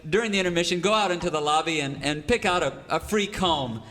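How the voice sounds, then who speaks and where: A man's voice: on the high side, fast, heavy background noise. A man with a folksy, aw-shucks manner doing comedy banter in a live radio stage show. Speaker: radio show cast member and announcer. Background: music and audience.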